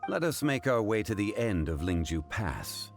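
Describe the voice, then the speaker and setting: Game casting: a very deep, unhurried gentleman sage, formal, lively in tone. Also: creaky. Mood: composed. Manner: measured, formal diction. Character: calm, dignified, formal male sage. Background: game music.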